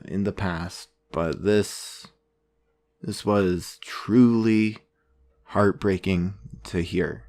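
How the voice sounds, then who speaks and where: A man's voice: lowish voice, a little mellow. A man playing a sympathetic investigator narrating a fictional true-crime podcast in a sombre, reflective manner.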